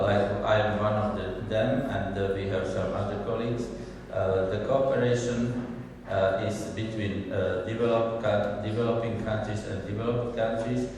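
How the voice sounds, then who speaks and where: A man from Slovakia gives a conference talk in a low voice, stopping often on filler sounds. He is recorded from afar in a large hall with a long, echoing reverb. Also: a little mellow, slight background noise. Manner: hesitant, many filler sounds. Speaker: conference speaker. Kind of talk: conference presentation. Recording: echoey hall from far off.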